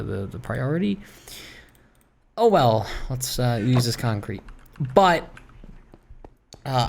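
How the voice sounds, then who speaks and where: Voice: mid-range voice, a little mellow. Rambling gaming streamer, noisy home mic. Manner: rambling, hesitant, self-interrupting. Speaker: gaming video creator and streamer. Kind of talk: casual rambling chat over Minecraft gameplay. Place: room at home. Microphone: streaming microphone. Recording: home microphone with clear background noise.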